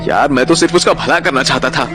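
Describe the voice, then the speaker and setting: A man's voice: medium pitch, quick pace, lightly acted. A man acting as a naive, anxious, good-hearted young traveller in an audio drama, speaking in an emotive, dramatic way. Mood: rueful, upset. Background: background music.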